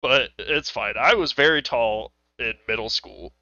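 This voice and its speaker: A man's voice: medium pitch, measured pace, bright, forceful tone, no room sound, highs cut off. A young man hosting a casual podcast, joking and laughing often with friends. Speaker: podcast host.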